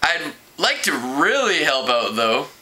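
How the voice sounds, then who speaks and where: A man's voice: fairly high pitch, big pitch swings, slow pace, sharp tone, exaggerated voice, low-quality recording. A man voicing an eager, earnest teenage boy copy kid in a storybook, polite and hopeful. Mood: polite, tentative.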